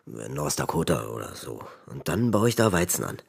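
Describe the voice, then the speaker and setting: A very deep-voiced, gravelly working man in a radio play. He talks colloquially and earnestly, like a simple driver dreaming of a farm, and his speech swings in melody. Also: big pitch swings, brisk, no echo, clean studio sound, heavily acted. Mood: hopeful, earnest. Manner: colloquial, plain-spoken. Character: working-class milk wagon driver dreaming of farm life. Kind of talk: German radio play dialogue.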